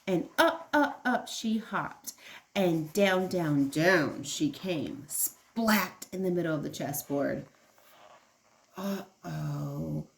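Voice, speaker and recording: A woman preschool teacher giving an expressive read-aloud for young children, adding simple explanations and questions, with emphasis on key words. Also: on the low side.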